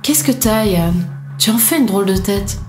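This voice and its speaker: An actress playing a calm, polite alien woman in an audio drama, gentle and composed. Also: medium pitch, normal speed, lightly acted. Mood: reassuring. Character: calm, polite, reassuring female alien companion. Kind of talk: French audio drama acting. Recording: roomy sound with music behind.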